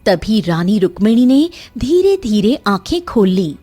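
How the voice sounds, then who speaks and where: Husky, animated woman storyteller. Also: medium pitch, measured pace, faint music behind. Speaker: story narrator. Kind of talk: dramatic scripted narration of a cartoon fairy tale.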